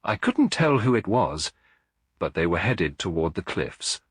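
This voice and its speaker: A man voicing a nervous, secretive woman in a story, confessing in a hushed, tremulous way. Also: low-pitched, very animated, measured pace, husky, no room sound, clean studio sound, full character voice. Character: nervous, secretive female witness with notebook.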